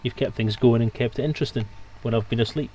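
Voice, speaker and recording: A man's voice: medium pitch, fast, a little mellow, rough recording. A man hosting a livestream, chatting casually with his guests and viewers as he wraps up. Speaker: livestream host.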